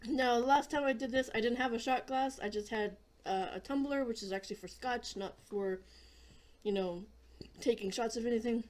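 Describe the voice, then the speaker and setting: A hoarse woman hosting a casual gaming video, chatting in a rambling way and often clearing her throat. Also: a little high, normal speed. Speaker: gaming channel host.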